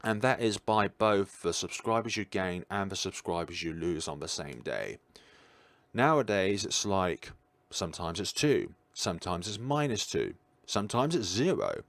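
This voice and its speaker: A man's voice: low-pitched, expressive, normal speed, no room sound. A tired man musing aloud in a casual livestream chat, answering his viewers' questions as he goes. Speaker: streamer.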